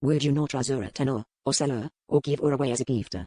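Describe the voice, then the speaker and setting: A man playing a smooth, probing French merchant with a French accent in a historical romance audiobook. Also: medium pitch, fast talker, darkish tone, no room sound, close to an everyday voice. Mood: probing, persuasive. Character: suave, probing French merchant in colonial port. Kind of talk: dramatised audiobook dialogue.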